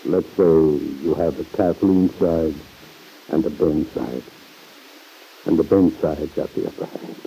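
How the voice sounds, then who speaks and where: Deep, dark-voiced fatherly uncle. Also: a little hiss or hum, low-quality recording. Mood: grave, concerned. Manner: measured, earnest, formal phrasing. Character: earnest, fatherly uncle, gravely reproving his niece. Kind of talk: old-time radio drama acting. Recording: vintage radio recording.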